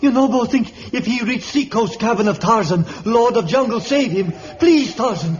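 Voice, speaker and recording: Scared runaway tribesman, high voice, begging in broken english, dull vintage radio sound. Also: animated, normal speed, a crowd in the background. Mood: frightened, pleading. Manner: urgent broken English, refers to himself by name. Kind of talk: old-time radio adventure acting. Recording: muffled old radio recording, faint noise.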